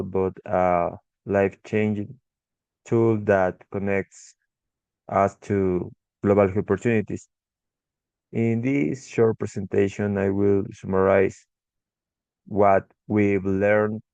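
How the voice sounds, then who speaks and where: A young man with an Ecuadorian Spanish accent gives a short class presentation as an English tutor, pausing often with fillers and restarting words. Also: on the low side, darkish tone, clean tone, no echo, dull audio. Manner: halting, frequent restarts and fillers.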